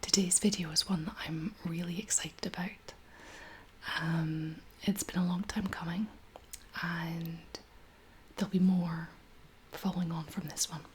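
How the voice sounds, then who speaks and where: A woman in her thirties hosting a soft-spoken ASMR chat in a gentle, unhurried, whispery and slightly husky voice. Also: fairly low pitch, fairly even intonation, a little hiss or hum, poor audio. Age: 30s. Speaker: ASMR video host.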